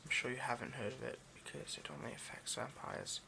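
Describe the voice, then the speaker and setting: A man playing an obsessive, hungry vampire in an intimate ASMR roleplay, murmuring haltingly and often slipping toward a whisper. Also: medium pitch, fairly even intonation, creaky, background noise. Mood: halting, explanatory. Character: obsessive, hungry male vampire, possessive and seductive.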